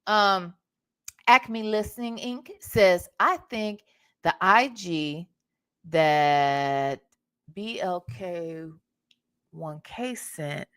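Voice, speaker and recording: Sassy american woman doing live commentary, sharp bright voice, swears, asks loads of pointed questions. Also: lowish voice, very slow, smooth. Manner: blunt, sassy, profane; emphatic, full of rhetorical questions. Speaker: reaction show host. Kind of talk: casual live commentary with chat.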